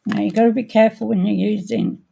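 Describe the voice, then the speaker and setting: A woman with a croaky voice hosting a casual live craft demonstration, thinking aloud as she works and sometimes clearing her throat. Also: mid-range voice, steady tone, warm tone, a little hiss or hum. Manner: unhurried, thinking aloud while working. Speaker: craft tutorial host.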